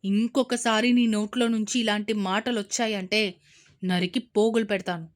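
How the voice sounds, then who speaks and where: A woman voicing an angry, stern middle-aged father who shouts and scolds in a Telugu audio story. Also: mid-range voice, steady tone, normal speed, natural voice.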